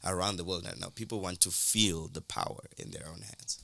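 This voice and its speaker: A young man from Durban, a musician, telling his story in a relaxed, rambling interview with many fillers and restarts. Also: low-pitched, fairly quick, creaky, no room sound. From South Africa, Durban. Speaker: musician guest.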